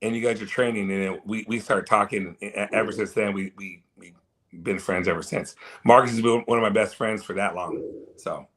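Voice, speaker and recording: A man guesting in a casual interview, hoarse and tired, rambling and repeating words. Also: lowish voice, steady tone, brisk, a bit bright, poor audio. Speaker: guest, event organizer.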